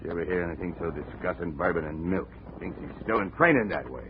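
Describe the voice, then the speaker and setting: An actor playing a gruff, rambling, excitable boxing manager who swings from shouting to muttering, in 1950s radio drama style. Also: male voice, sits low, fast talker. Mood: grumbling, rambling. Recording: muffled, noisy 1950 radio recording.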